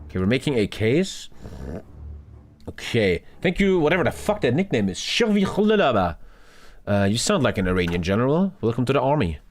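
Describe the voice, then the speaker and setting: A man's voice: medium pitch, sing-song, fairly quick. A young man streaming a strategy game live, ranting and swearing at his chat. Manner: loud, swearing, jumpy rants to chat. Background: game music.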